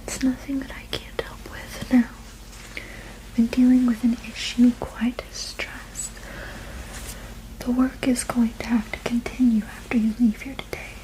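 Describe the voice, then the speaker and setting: A woman whispers in a soft, breathy voice, pitched slightly high but kept even and gentle. She sounds like a soothing sleep therapist in an ASMR roleplay, reassuring and unhurried. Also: rough recording. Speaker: ASMR performer playing a witch sleep therapist.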